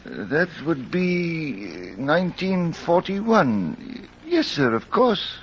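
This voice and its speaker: An actor playing a polite, hesitant manservant in a 1940s radio drama, husky and halting, on a muffled old recording. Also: male voice, fairly high pitch, very animated, heavily acted. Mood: hesitant, deferential. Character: deferential, hesitant male servant, easily tickled.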